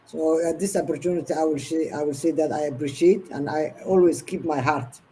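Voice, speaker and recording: A woman's voice: low-pitched, fairly even intonation, a little fast, dark tone, slight background noise, rough recording. A woman in her fifties from Somalia, a guest in an online interview, telling her story earnestly in long run-on sentences. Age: 50s.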